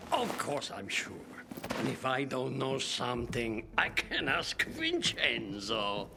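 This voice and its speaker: An actor playing an eccentric, excitable, scheming bomb-maker in a video game, theatrical and halting, repeating himself and drawing out words. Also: male voice, mid-range voice, gravelly, heavy background noise, heavily acted. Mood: cocksure. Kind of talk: video game cutscene acting.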